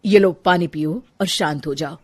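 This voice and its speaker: A woman's voice: fairly low pitch, normal speed, husky. A woman voicing an earnest young husband in a story, concerned and questioning in an urgent manner. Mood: soothing.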